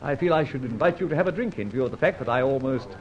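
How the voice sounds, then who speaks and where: An actor playing a breezy, scheming gentleman adventurer in an old radio serial, with clipped old-fashioned diction. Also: mid-range voice, lively intonation, rapid-fire, close to an everyday voice. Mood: jovial, polite. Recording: muffled, noisy vintage radio recording.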